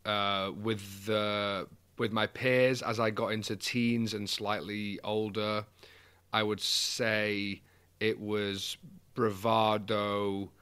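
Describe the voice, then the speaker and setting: A man's voice: fairly low pitch, little pitch movement, slow, fairly bright tone, smooth. A young man with a Mancunian accent, speaking candidly and with self-deprecating humor as an interview guest over a video call. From England. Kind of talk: conversational interview.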